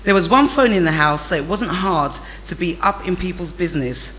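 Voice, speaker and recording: A man narrates memories of childhood in a bright, forward voice. His pitch sits well above most men's, and his delivery is animated and amused. There is some light background noise. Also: a little fast. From England. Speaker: storyteller reading a memoir.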